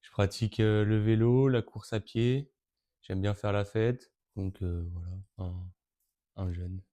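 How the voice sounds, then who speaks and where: A man's voice: fairly low pitch, steady tone, measured pace, warm tone. A young man of nineteen from Brittany, answering interview questions with frequent hesitations and restarts. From Brittany, France. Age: teens. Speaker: interviewee, event co-organizer.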